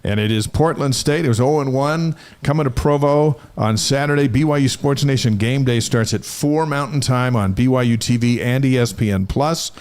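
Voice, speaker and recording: A man's voice: medium pitch, moderate pace, few pauses, darkish tone, smooth. A man with an American accent hosting a sports talk show in a casual, conversational style. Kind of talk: casual sports talk with live chat shout-outs.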